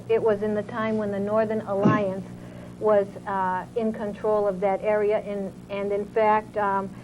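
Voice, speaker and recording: A woman hosting an interview show, introducing her guest in a measured way, with many pauses and fillers. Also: medium pitch. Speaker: talk show host. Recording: tinny phone-like audio with faint hiss.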